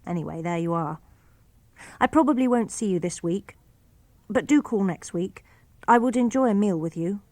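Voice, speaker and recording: A woman's voice: mid-range voice, animated, moderate pace, long pauses, a little mellow, no room sound. Composed woman reading letters. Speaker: reader of letters. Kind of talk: reading letters aloud for radio.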